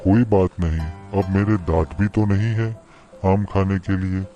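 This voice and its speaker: A man's voice: low voice, steady tone, a little slow, dark tone, highs cut off, soft background music. A man voicing a self-absorbed, matter-of-fact grown villager in a children's cartoon story. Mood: resigned, tired.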